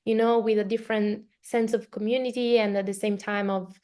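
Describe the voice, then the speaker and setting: A woman's voice: mid-range voice, little pitch movement, fairly quick, darkish tone. A woman from Italy, a native Italian speaker with an Italian accent, hosting a casual, reflective podcast conversation. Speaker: podcast host.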